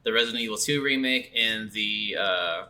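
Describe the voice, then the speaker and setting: A man's voice: fairly low pitch, moderate pace, sharp tone. A man co-hosting a video game podcast, chatting casually about games over a slightly noisy recording. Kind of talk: casual chat, game review.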